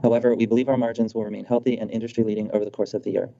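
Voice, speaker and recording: A man's voice: on the low side, level tone, racing, gravelly, warm tone. A male executive fluently and formally reading a scripted statement on an earnings call. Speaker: company executive. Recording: dull muffled conference call audio.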